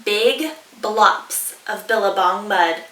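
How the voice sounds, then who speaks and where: A woman's voice: mid-range voice, takes it slowly, fairly bright tone. A woman voicing a curious, helpful male platypus in a children's storybook, chirpy and emphatic. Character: helpful male platypus offering cooking advice. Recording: roomy echo, distant mic.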